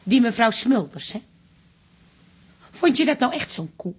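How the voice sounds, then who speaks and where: An actress playing a touchy, insecure middle-aged wife in a radio drama, with a pointed, indirect manner. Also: female voice, fairly high pitch, sing-song, unhurried, breathy edge, full character voice. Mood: hurt, offended. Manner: pointed, indirect, easily offended.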